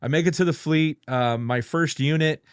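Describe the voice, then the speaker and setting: A man's voice: on the high side, normal speed, clear, resonant tone, no room sound, studio quality. An older man, a podcast guest, casually reminiscing and explaining details of his service career in an informal way. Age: 50s or older. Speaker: podcast guest, retired air traffic controller. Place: close-miked dry studio.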